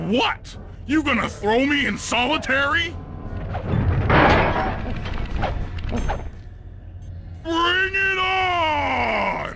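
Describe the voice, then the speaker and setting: An actor playing a big, dim, lovable hippo bruiser in a video game, childlike and bombastic. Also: male voice, very high voice, gravelly, heavily acted. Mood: defiant. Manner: childlike, bombastic, third-person boasting. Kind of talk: animated video game cutscene acting. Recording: loud music under the voice. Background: game music.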